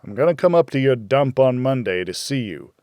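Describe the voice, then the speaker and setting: A man's voice: medium pitch, very animated, brisk, warm tone, no echo, studio quality, natural voice. A man voicing a blunt, plain-spoken, caring friend in a hardboiled audiobook. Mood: concerned, firm. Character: blunt, folksy, caring male friend of the loner. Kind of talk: hardboiled audiobook character dialogue.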